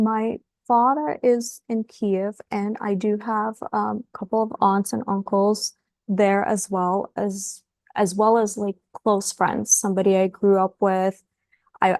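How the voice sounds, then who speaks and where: A woman from Ukraine talks as a podcast guest, with a gravelly, fry-edged voice in a dry, close room. She answers thoughtfully and often repeats a word as she starts a new thought. Her pitch sits in a middle range. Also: darkish tone, no room sound. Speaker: guest psychologist. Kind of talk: podcast interview.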